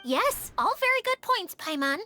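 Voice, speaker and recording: Bright, high, theatrical young woman. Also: very animated, moderate pace, heavily acted. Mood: poised, critical. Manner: dramatic, flowery, polite. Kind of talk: video game voice acting. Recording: music behind the voice.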